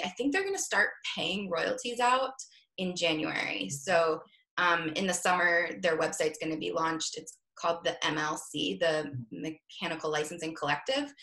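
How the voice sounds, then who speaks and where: A woman speaking as a business affairs manager on a panel, explaining at a relaxed pace with many hesitations and fillers. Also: on the low side, vocal fry, reverberant, low-quality recording. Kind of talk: casual panel discussion.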